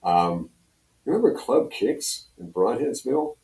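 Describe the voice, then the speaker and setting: A man's voice: mid-range voice, very animated, measured pace, creaky, mellow. Older American man, distant and echoey. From Pennsylvania, United States. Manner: rambling, anecdotal storytelling. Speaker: guest, retired radio personality. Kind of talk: casual reminiscing interview. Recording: roomy echo, distant from mic.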